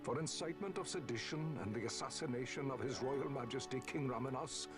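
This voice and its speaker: A man's voice: medium pitch, a little fast, soft background music, natural voice. An actor playing a solemn older nobleman in a video game, giving formal, stately narration and oratory. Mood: grave, stately. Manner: grave, stately, declamatory.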